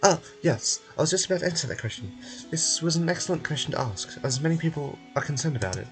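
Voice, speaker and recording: A man's voice: a little high, expressive, fast, faint music behind, low-quality recording. A man voicing an evasive, falsely cheerful institute official in a video game who stammers and blusters pompously. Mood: blustering.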